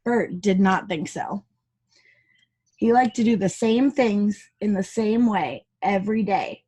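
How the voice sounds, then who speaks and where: A woman reading a picture book aloud to young children as their teacher, with chatty asides and expressive dialogue. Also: mid-range voice, slow, slightly rough, rough recording.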